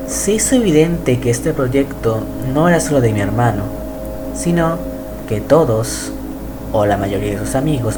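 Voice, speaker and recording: Male narrator, mid-range pitch, even and measured reads of eerie text, music bed underneath. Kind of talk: scripted horror narration.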